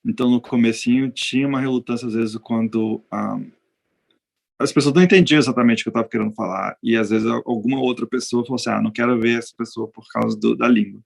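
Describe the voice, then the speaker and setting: A Brazilian veterinarian answering interview questions in a relaxed, hesitant way, with frequent restarts. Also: male voice, medium pitch, quick pace, vocal fry. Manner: hesitant, many restarts and fillers. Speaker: veterinarian guest. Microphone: video call.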